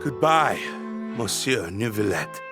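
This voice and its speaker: A man's voice: on the low side, very animated, slow. An actor playing a bitter, wounded condemned man in a game, anguished, defiant and accusing. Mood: resigned. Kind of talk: dramatic game voice acting. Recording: music and crowd behind the voice.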